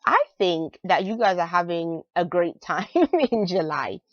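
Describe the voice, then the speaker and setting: Raspy-voiced woman reading tarot with a cold. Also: on the low side, big pitch swings, measured pace, dry recording, muffled recording. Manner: chatty, many fillers like "um" and "like". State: has a cold, raspy voice, clearing throat. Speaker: tarot reader. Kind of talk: casual monthly tarot reading.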